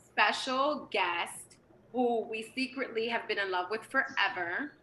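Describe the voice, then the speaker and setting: A woman hosting a casual show, talking in an excitable, playful way. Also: fairly high pitch, measured pace, echoey room, a little hiss or hum, rough recording. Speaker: podcast host. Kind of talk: casual chat.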